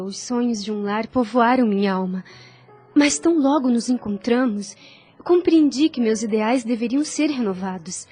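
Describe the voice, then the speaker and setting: A woman playing a devout, gentle young woman in an audio drama, with a solemn and formal way of speaking. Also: mid-range voice, husky, lightly acted. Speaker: voice actor.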